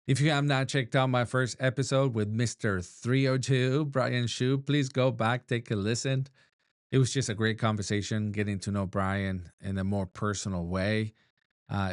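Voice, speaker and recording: A man's voice: on the low side, steady tone, moderate pace, no room sound, clean studio sound. A man from Argentina, hosting a casual interview podcast in a friendly, enthusiastic way. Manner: friendly, enthusiastic, laughs easily. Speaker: podcast host.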